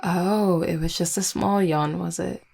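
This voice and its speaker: A woman's voice: fairly low pitch, steady tone, measured pace, creaky, darkish tone. A woman playing an affectionate, teasing vampire girlfriend in an intimate roleplay audio, speaking in a tender, conversational way.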